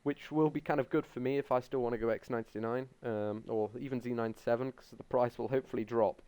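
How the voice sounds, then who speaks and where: A man's voice: medium pitch, steady tone, quick pace, warm tone, smooth. A young man hosting a casual tech podcast, talking hesitantly with many ums. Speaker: podcast host.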